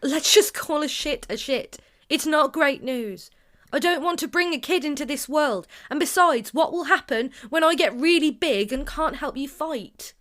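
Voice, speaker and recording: An actress plays a young female survivor in an audio drama. She is blunt, troubled and sardonic, speaking naturally in a fairly high voice with halting hesitations. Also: expressive, a bit bright. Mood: frustrated.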